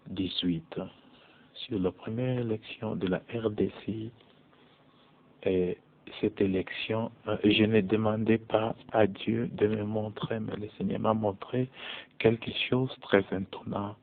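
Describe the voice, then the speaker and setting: A man preaching as a prophet in slow, deliberate French, his being English. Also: fairly low pitch. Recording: tinny phone-like audio, dry.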